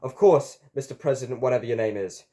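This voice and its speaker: A man voicing the excitable, combative hero of a comic storybook, exclamatory and indignant. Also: medium pitch, fast talker, lightly acted.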